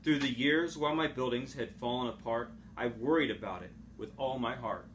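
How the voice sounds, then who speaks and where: A man reading a children's storybook aloud like a teacher, in a rhythmic, dramatic, expressive way. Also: medium pitch, normal speed. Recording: home recording with clear background noise.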